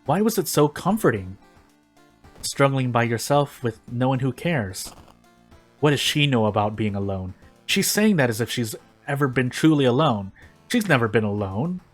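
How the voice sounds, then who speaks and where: A man's voice: medium pitch, very animated, moderate pace, faint music behind. A man reading a fan fiction story aloud in a dramatic narrating style.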